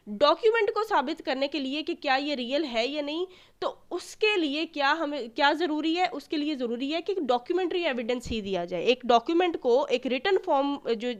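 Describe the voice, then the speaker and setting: A woman delivers an explanatory lecture. She teaches in a didactic way, repeating each point and asking rhetorical questions. English legal terms are mixed throughout her explanation. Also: sits high. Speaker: law tutor.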